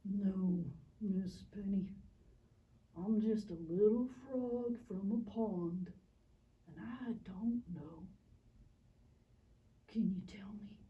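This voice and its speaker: A woman voicing a naive, curious, excitable little frog in a children's church puppet show. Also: mid-range voice, slow, long pauses, distant mic, highs cut off, close to an everyday voice. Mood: curious, shy. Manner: childlike, wide-eyed, exclaiming.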